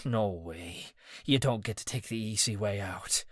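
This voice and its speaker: A man's voice: on the low side, a little slow, fairly bright tone, dry recording, studio quality. A man voicing a volatile young man who growls threats in rage. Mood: threatening.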